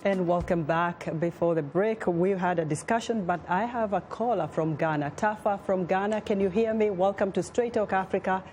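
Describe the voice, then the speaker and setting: A host of a call-in talk show, welcoming callers and moving the show along briskly. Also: medium pitch, over music.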